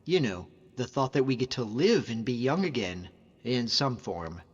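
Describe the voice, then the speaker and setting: A man plays a young Nordic hunter from a fantasy video game, loyal and devout. He talks in an earnest, informal way at a medium pitch. The sound is slightly muffled, with a long reverb and music behind him. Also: lively intonation, normal speed, husky. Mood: reflective, earnest. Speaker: video game follower character. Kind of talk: conversational game character voice acting.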